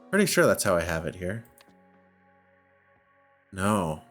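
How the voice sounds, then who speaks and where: A man's voice: low voice, a bit bright. A man giving casual gameplay commentary, thinking aloud in a hesitant, self-questioning way as he works through a puzzle game. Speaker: video game playthrough host.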